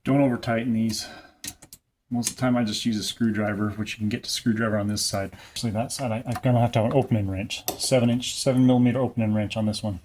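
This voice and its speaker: A man with a fairly low voice talks through a hands-on installation job. He is plain-spoken and folksy, explaining casually one step at a time. There is clear noise behind his voice. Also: fairly even intonation, quick pace, a little mellow. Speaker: installer presenting a how-to. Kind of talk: casual hands-on tutorial narration. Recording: clear background noise, occasional clicks.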